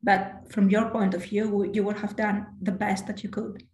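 A woman with a low voice gives careers advice in a measured, reflective way, often pausing to correct herself. Her pitch stays fairly flat and even. She sounds like she is in an echoey room. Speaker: guest.

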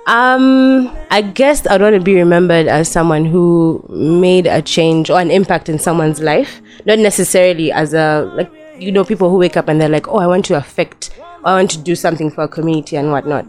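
A woman's voice: lowish voice, brisk, a little mellow. A young woman guest on a podcast, chatting casually, with a stuffy nasal voice from a cold and frequent laughter. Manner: giggly, chatty, laughs often.

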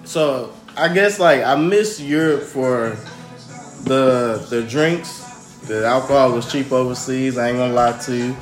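A young American man co-hosting a podcast, telling stories casually in a slangy, animated way with some swearing. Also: mid-range voice, unhurried. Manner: slangy, animated, profane storyteller. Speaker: podcast co-host. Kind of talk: casual storytelling chat. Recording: roomy echo, faint music underneath.

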